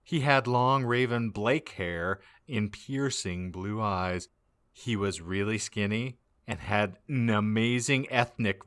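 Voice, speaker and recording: A man with a fairly low, forceful voice narrates a fan-fiction story for comedy. He takes it very slowly and reads every misspelled word exactly as written. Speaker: podcast host reading as narrator.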